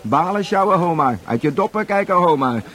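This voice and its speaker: An actor the playing a sarcastic, grumbling undercover detective posing as a market trader in a radio drama. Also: male voice, high-pitched, lively intonation, a little fast, a bit bright. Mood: irritated.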